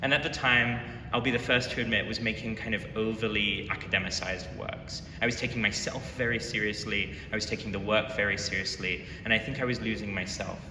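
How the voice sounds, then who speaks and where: A young man from London giving an earnest, reflective artist talk in a museum gallery, with frequent pauses and fillers. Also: steady tone. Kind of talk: presentation talk. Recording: echoey hall, mic far off, noisy rumble.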